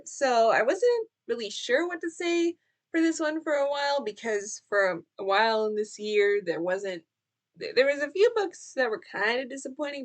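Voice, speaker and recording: High-voiced woman chatting casually. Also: a bit bright. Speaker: book vlogger. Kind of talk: casual chatty book review to camera.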